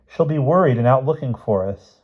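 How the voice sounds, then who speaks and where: A man's voice: mid-range voice, expressive, fairly quick, slightly rough, phone-quality sound, voice pushed up. A man voicing a bossy, worried boy in a storybook, with urgent, grandly dramatic phrasing. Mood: gloomy, dramatic.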